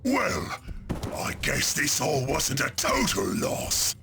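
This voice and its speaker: An actor playing a brutish, mocking male ork warboss in a video game cutscene, with a growling, gravelly voice and crude slang. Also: mid-range voice, huge pitch swings, takes it slowly, heavily acted. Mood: taunting. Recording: game score loud behind.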